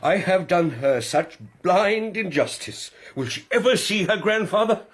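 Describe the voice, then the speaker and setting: A gruff old aristocratic grandfather, blusters and repeats himself in a period radio drama. His voice is husky and fairly high, and it swings widely with emotion. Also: animated, measured pace, full character voice. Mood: moved, remorseful. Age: older.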